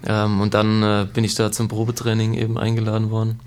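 A man's voice: fairly low pitch, monotone, fast talker, clear, resonant tone. A man in his twenties, raised in Germany, chatting casually about his background as a guest footballer in an interview, with many fillers. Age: 20s.

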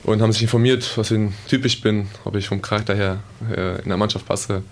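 A young man speaking as a guest footballer in a casual interview, halting often with fillers. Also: fairly low pitch, steady tone.

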